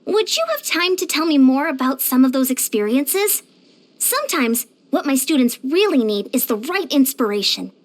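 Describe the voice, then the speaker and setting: A woman voicing a short, youthful female fitness coach in a video game, peppy, encouraging and earnest. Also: sits high. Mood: friendly, sincere. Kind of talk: video game character voice lines.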